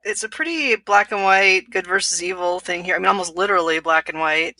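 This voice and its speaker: A woman's voice: fairly low pitch, fairly quick, pushed and bright. A woman hosting a casual podcast book discussion, speaking in a hesitant, slightly apologetic way.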